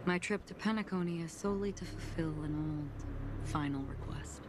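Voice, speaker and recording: An actress playing a calm, enigmatic swordswoman in a game, speaking in a composed, deliberate and solemn way. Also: deep voice, noisy background, natural voice. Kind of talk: scripted game dialogue. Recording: game dialogue over background music.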